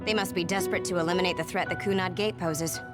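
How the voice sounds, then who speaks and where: Woman acting as pragmatic soldier, cool, measured, analytical, game cutscene dub, loud music behind. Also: lowish voice, steady tone, close to an everyday voice. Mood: cool reasoning. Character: shrewd, calculating, level-headed young soldier, coolly pragmatic.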